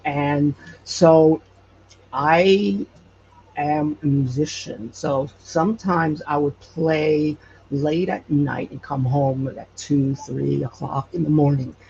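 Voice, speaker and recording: A man with a slightly high, creaky voice shares a personal story as an interview guest. He talks conversationally and pauses often in mid-phrase. The sound is dull and lo-fi, with some faint noise. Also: fairly even intonation, darkish tone. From Hawaii. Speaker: podcast guest, paranormal storyteller and investigator. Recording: muffled lo-fi with faint background noise.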